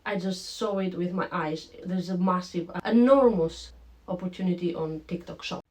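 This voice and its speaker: A woman's voice: on the low side, moderate pace. A woman from Romania with a mixed Romanian, Greek and slightly British accent, chatting casually to camera in a vlog at home. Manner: chatty and animated, addresses viewers directly. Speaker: vlogger. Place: home room with a lamp.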